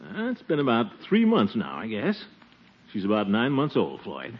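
Pompous middle-aged uncle, soft-hearted blusterer, hugely animated swoops, 1940s radio comedy, muffled recording. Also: male voice, lowish voice, normal speed, breathy edge, full character voice. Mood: genial.

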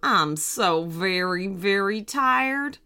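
A woman voicing a sad, tired, soft-hearted farmer with a slow, mournful way of talking in a children's story. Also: mid-range voice, animated, smooth, heavily acted.

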